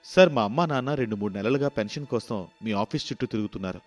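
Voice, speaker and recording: A man's voice: on the low side, fast talker, a little mellow, soft background music, natural voice. A man voicing a caring, dutiful grown son with an earnest, pleading manner in a moral story. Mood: indignant.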